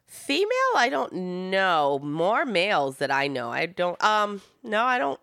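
A woman podcast host talking in a casual conversation, emphatic and animated, often laughing. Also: mid-range voice, a little slow, creaky, no room sound, clean studio sound. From Italian family, raised in America.

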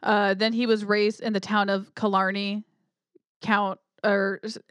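A woman's voice: mid-range voice, level tone, unhurried, clean tone, dry recording, clean studio sound. A woman co-hosting a podcast, bluntly skeptical in casual movie chat, often trailing off mid-thought. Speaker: podcast co-host.

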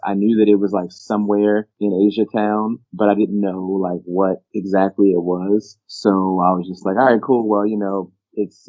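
A young adult man from Baltimore, a podcast guest, telling travel stories in a laid-back, chatty way and often repeating words as he goes. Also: fairly low pitch. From Baltimore, USA. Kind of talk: casual interview chat. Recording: dull lo-fi sound.

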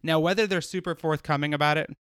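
A man's voice: fairly high pitch, steady tone, fast talker, fairly bright tone, smooth, no room sound, studio quality. A man co-hosting a podcast, rambling and giggling through casual chat with plenty of fillers. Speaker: podcast co-host.

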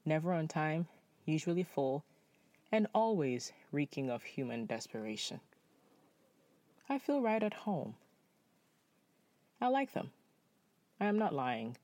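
A young man from Nepal telling a personal story in spoken-word style, measured and reflective. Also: a little high, darkish tone, dry recording.

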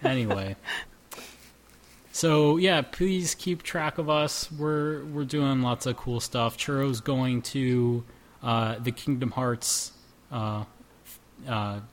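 A man's voice: medium pitch, takes it slowly. A man in his twenties hosting a casual group chat, joking and rambling. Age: 20s. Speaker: podcast host.

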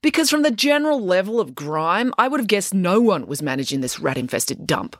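A woman voicing a brash, sarcastic young girl in a storybook, loud, declamatory and argumentative. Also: mid-range voice, big pitch swings, a little fast, no echo, studio quality, voice pushed up. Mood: combative.

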